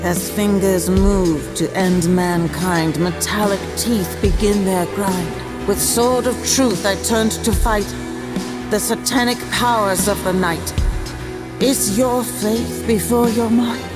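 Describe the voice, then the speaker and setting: A woman's voice: mid-range voice, steady tone, takes it slowly, over loud music, close to an everyday voice. A woman playing a mysterious time-travelling wanderer, reciting dramatic verse in a solemn, incantatory way. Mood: solemn, grand.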